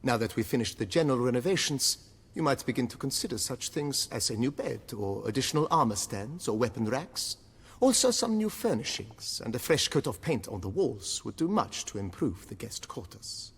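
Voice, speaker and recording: Fussy deferential game butler, eloquent and formal, animated intonation, breathy husky tone, long reverb. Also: male voice, medium pitch, normal speed. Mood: eager, deferential. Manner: formal, obsequious, florid, swooping delivery. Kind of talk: video game voice acting.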